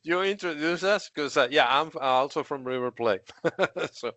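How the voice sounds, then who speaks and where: A man's voice: on the high side, normal speed, pushed and bright. A middle-aged man from Argentina, a podcast guest who tells stories in casual chat and laughs often. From Argentina, Patagonia. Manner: laughs often while telling stories, many fillers.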